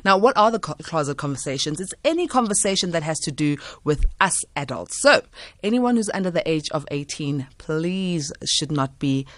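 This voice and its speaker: A woman's voice: sits low, expressive, normal speed, a bit bright, no echo. A woman hosting a late-night radio talk show, upbeat and reeling off call-in numbers. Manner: upbeat, reels off phone numbers. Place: radio studio.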